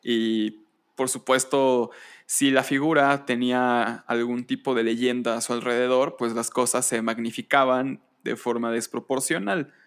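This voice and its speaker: Hombre pausado explicando en un pódcast. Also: male voice, mid-range voice, fairly even intonation, clean tone. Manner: deliberate, explanatory, with pauses and rhetorical questions. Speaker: podcast host. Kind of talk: conversational explanation of history.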